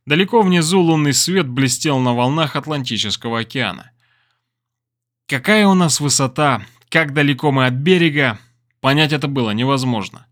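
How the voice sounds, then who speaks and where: A man narrating an audiobook thriller in a deliberate, expressive storytelling manner. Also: medium pitch. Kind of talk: dramatic scripted fiction narration.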